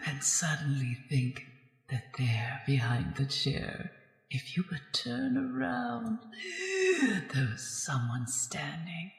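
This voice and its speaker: A woman's voice: low voice, gravelly, full character voice. An actress playing a menacing, bloodthirsty undead female ghost in a radio horror drama, theatrical and commanding, often dropping into whispers. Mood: sinister, hushed. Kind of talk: old-time radio horror drama acting. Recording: very echoey reverberant hall, music underneath.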